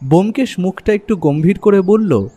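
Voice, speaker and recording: A man narrating a scripted first-person story as an expressive storyteller. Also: high-pitched, normal speed, breathy edge. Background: music.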